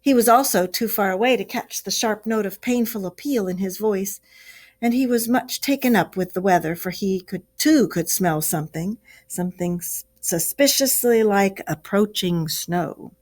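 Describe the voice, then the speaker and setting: A woman teacher reading a children's story aloud at home. Her voice sits at a middle pitch, and she reads slowly and expressively, shaping each phrase for young listeners. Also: a little mellow.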